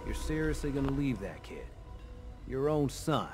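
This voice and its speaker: Guy voicing folksy easygoing mechanic dude in a game, loyal, casual, very lively pitch. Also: normal speed, soft background music, lightly acted. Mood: incredulous, casual. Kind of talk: video game dialogue.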